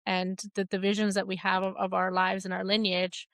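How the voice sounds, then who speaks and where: A woman host born in Iran and raised in Canada, speaking in a measured, hesitant way as she thinks aloud. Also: medium pitch, monotone, vocal fry, a bit bright, no echo. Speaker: podcast host. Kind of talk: reflective conversation.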